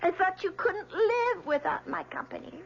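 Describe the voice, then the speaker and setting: An actress playing a despondent, bitter, guilt-ridden grown daughter in an old-time radio drama, listless and wounded. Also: female voice, extremely high pitch, lively intonation, moderate pace, gravelly, exaggerated voice. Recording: dull, noisy radio drama recording with hum.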